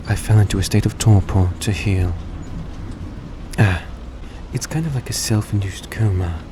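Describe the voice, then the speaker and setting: A man playing a suave, tender centuries-old vampire in a roleplay audio drama, speaking in a soft, coaxing, genteel way to the listener. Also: low voice. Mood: earnest, grateful. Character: suave, gracious centuries-old male vampire, young-looking, tender and grateful. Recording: steady background noise, vehicle-like ambience.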